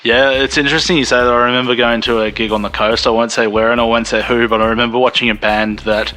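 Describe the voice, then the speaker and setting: A man's voice: mid-range voice, level tone, fast talker, sharp tone, poor audio. A male Australian radio host chatting casually, swearing freely and laughing as he tells stories. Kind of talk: casual interview chat.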